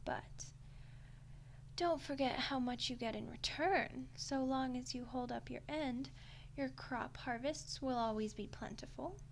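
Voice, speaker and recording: A woman voicing an ancient female archdemon in a roleplay ASMR monologue, playful, condescending and coolly menacing, in an unhurried delivery with whispery touches. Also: high-pitched, gravelly, slight background noise. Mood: amused, smug.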